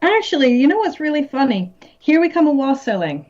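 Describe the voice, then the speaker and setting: A woman's voice: high-pitched, sing-song, brisk, a little mellow. Chatty woman guest laughing a lot, kinda muffled lo-fi sound. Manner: chatty, laughs often, many restarts. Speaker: guest, musician and actress. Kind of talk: casual interview chat.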